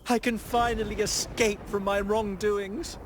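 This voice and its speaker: An actor playing an entranced, serene male penitent in a video game, speaking with measured, blissful detachment. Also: sits high, level tone, a little hiss or hum. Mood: entranced serenity.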